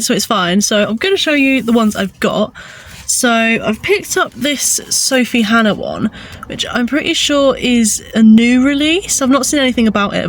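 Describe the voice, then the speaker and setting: A woman with a congested, bunged-up nose, chatting enthusiastically in a casual book vlog. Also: a little high. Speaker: book vlogger.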